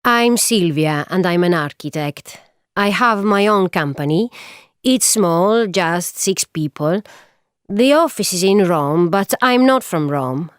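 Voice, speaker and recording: Lady, clear smooth voice, matter of fact intro. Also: lowish voice, animated, takes it slowly, dry recording, studio quality. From Sicily, Italy. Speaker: architect introducing herself. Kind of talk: scripted self-introduction.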